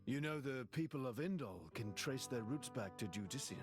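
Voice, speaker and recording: A man's voice: medium pitch, lively intonation, moderate pace, over music, close to an everyday voice. A man playing an affable, easygoing adventurer in a video game cutscene. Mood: explaining.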